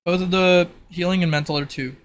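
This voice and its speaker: A man's voice: sits high, fairly even intonation, a little fast, fairly bright tone. A man running a tabletop roleplay as game master, talking casually.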